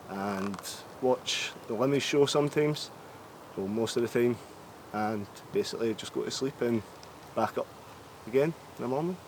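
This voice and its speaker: A young man talking casually about his workday, relaxed and hesitant, with lots of ums. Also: lowish voice, a little hiss or hum, low-quality recording. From Scotland. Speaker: call centre worker describing his day. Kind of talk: casual monologue.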